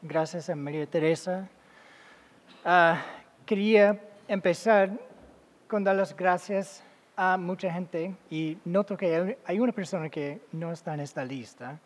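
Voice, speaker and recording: A man with as his giving an academic talk as a guest lecturer, halting, with many fillers and self-corrections. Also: sits high. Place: lecture hall. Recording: long reverb of a large hall.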